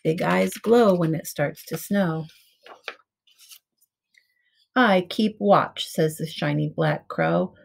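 A middle-aged woman reading a picture book aloud to young children in simple, measured storybook phrasing. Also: lowish voice, vocal fry. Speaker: preschool teacher reading aloud. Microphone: webcam at arm's length.

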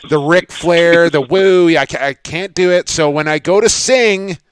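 A man's voice: on the high side, measured pace, a little hiss or hum. A man co-hosting a podcast, chatting casually and telling long self-deprecating stories. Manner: rambling, self-deprecating storyteller. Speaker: podcast co-host.

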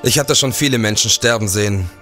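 A man's voice: lowish voice, fairly quick, a bit bright, lightly acted. Man as a sober detective over faint music. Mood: sober, shaken. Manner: sober, reflective. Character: serious male 19th-century police detective recounting his case. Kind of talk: radio play narration and acting.

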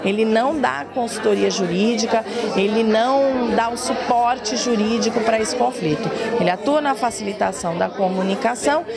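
Woman in her forties, slightly high voice, measured explainer, handheld mic, busy crowd behind. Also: creaky. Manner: measured, explanatory, with brief hesitations. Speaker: mediation lecturer. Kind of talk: explanatory interview answer. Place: lecture room with audience. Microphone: handheld mic, close framing.

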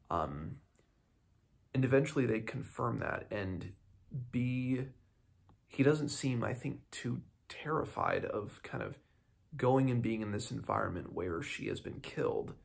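A man's voice: on the low side, creaky, husky. A man in his twenties giving a casual, unscripted book review to camera in a small home room, thinking aloud hesitantly and often restarting his sentences. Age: 20s.

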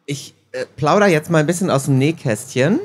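A man with a slightly husky voice moderating a casual live interview, putting questions to guests. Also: on the high side, lively intonation, fairly quick. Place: small stage at a book fair. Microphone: handheld microphone.

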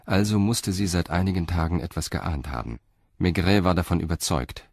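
A man with a low voice narrates a crime radio play. His delivery is sober and measured, like scripted storytelling, in a close, dry recording. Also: vocal fry, a little mellow, dry recording.

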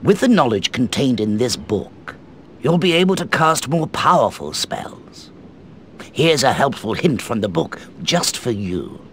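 An actor playing an eccentric, eerie old British wand maker in a video game, speaking in a theatrical, courteous, drawn-out way. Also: male voice, medium pitch, very animated, a little hiss or hum. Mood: instructive. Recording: game music behind the voice.

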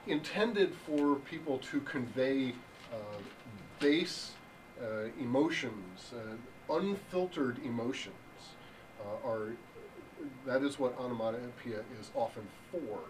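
A young man giving an improvised mock lecture in a deadpan, halting way, full of ums, in a hotel function room. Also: medium pitch. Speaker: improv presenter. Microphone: far room camera. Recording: distant voice with room hiss.

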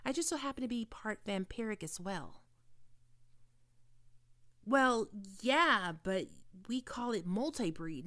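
A woman's voice: fairly high pitch, a bit bright, dry recording, studio quality. Woman playing a flustered young cat-vampire roommate. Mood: offended. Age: young adult. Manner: flustered, stammering, quick to take offense. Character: nervous young female neko-vampire roommate. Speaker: ASMR roleplay performer. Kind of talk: ASMR roleplay.

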